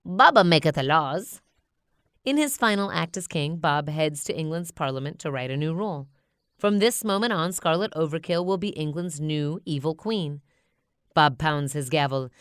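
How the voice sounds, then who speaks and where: Female children's narrator: lowish, smooth voice, expressive scripted read-aloud, dry sound. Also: moderate pace, no room sound. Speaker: storybook narrator.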